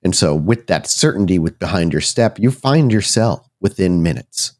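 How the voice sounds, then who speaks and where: A man working as game master, improvising suspenseful, deliberate narration for a horror tabletop roleplaying game. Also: deep voice, animated, a little mellow. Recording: music behind the voice. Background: background music.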